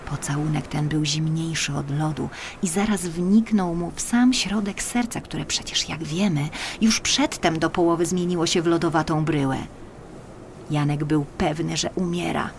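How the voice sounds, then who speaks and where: A woman reading a scripted children's story as an audiobook narrator, with expressive, deliberate phrasing. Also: low voice, slight background noise. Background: music.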